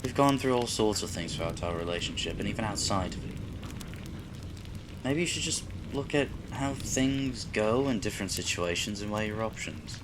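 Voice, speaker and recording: A man playing a kindly, fatherly businessman husband in a roleplay audio drama, speaking in a measured, frank, gently probing way. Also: on the low side, animated, background noise, natural voice. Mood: frank, reassuring.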